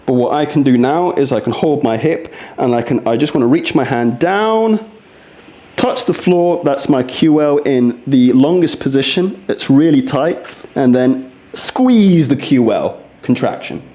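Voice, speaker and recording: Man, soft mellow tone, earnest confiding delivery, casual exercise instruction, echoey recording. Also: mid-range voice, moderate pace. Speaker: fitness vlogger demonstrating rehab exercises. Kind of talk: casual exercise demonstration with candid reflection. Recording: echoey, roomy sound.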